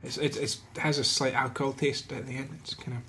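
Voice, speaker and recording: A man's voice: medium pitch, little pitch movement, quick pace, pushed and bright, a little hiss or hum. A man co-hosting a casual chat, easygoing and a little hesitant.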